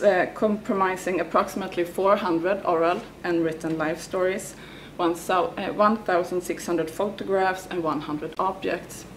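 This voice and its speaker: A woman, a researcher presenting at an academic conference, reading her prepared paper in English with some halting starts. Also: on the low side, a little hiss or hum, rough recording. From Sweden.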